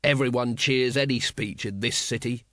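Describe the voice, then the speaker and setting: A man's voice: fairly low pitch, sing-song, slow, vocal fry, no room sound, studio quality, full character voice. A man voicing a weary, exasperated watch captain in a storybook, terse and growling.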